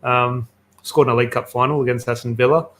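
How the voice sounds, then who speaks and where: Fast-talking enthusiastic man hosting a podcast. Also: medium pitch, fairly even intonation, a bit bright. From Australia. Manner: chatty, enthusiastic storytelling with fillers. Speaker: podcast host. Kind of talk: casual football podcast chat.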